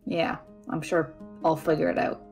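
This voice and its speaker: A woman's voice: low-pitched, completely flat delivery, normal speed, vocal fry, a little mellow, soft background music. A woman playing a brainy, caring and exasperated female friend in a conversational audio drama, wry and fretful. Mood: worried, exasperated.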